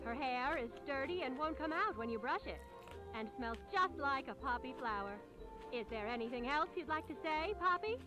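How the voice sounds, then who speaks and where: A woman's voice: sits high, lively intonation, normal speed, music in the background. A woman playing a cheerful, wholesome presenter in a vintage toy commercial, with a perky sing-song sales delivery.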